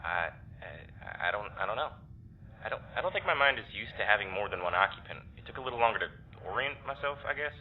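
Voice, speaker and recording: Exasperated wounded guy, earnest, lowish voice, phone-like radio sound, audio drama. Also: big pitch swings. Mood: confused. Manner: halting, earnest, defensive. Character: wounded, exasperated person confronting would-be killer. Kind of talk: naturalistic audio drama acting. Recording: thin radio-like sound with noise.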